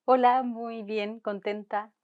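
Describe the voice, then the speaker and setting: A woman's voice: a little high, little pitch movement, takes it slowly, narrow, tinny audio. A Chilean woman marine biologist, speaking thoughtfully in an interview and often restarting as she searches for words. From Chilean Patagonia. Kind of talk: conversational interview.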